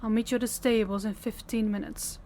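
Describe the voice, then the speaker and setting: A woman's voice: a little high, little pitch movement, warm tone, slight background noise, close to an everyday voice. A woman playing a young princess, stern, principled and formal, in an audio roleplay. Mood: serious, urgent. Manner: formal, commanding, measured diction. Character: young princess, stern, authoritative, compassionate, defiant.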